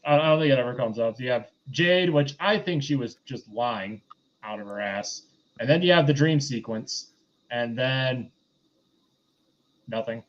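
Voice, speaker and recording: Man rambling casually, slightly muffled lo-fi sound. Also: mid-range voice. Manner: rambling, halting, conversational. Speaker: podcast host reviewing a film. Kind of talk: casual, unscripted movie recap. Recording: dull, lo-fi voice recording.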